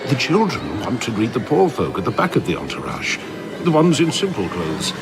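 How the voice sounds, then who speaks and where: An actor playing a proud, mocking citizen of an ideal island in an audio drama, speaking with scornful amusement. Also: male voice, mid-range voice, wildly swooping pitch, normal speed, music in the background. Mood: wry amusement.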